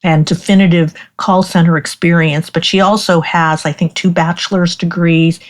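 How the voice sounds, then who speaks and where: A woman physician speaking as a guest in an interview, explaining in a measured way. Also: lowish voice, flat delivery. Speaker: doctor, guest. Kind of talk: interview conversation.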